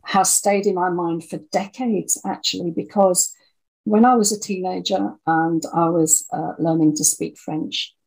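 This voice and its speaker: Woman in her sixties, lowish husky voice, gravelly creak, reflective interview storytelling. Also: fairly even intonation, unhurried, darkish tone, rough recording. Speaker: audio drama writer and producer, interview guest.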